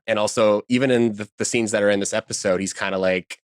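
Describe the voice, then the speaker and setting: A man's voice: fairly low pitch, fairly even intonation, quick pace, clean tone, no echo, clean studio sound. A man answering as an interview guest, casual and informal, with slightly tentative phrasing. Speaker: podcast guest, voice actor.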